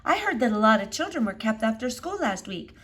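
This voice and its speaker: Expressive woman reading a children's book aloud. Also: on the high side, brisk. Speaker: storybook reader.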